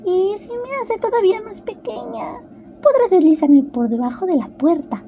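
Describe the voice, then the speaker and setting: A woman's voice: very high-pitched, big pitch swings, normal speed, vocal fry, a crowd in the background, voice pushed up, full character voice. A woman voicing an anxious, curious little girl who talks earnestly to herself in a storybook audio drama. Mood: hopeful reasoning.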